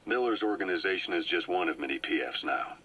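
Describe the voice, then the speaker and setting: An actor playing a calm, well-informed agent who briefs a comrade in a measured, explanatory way. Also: male voice, sits low, breathy edge, full character voice. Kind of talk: video game cutscene voice acting. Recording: muffled cassette tape audio.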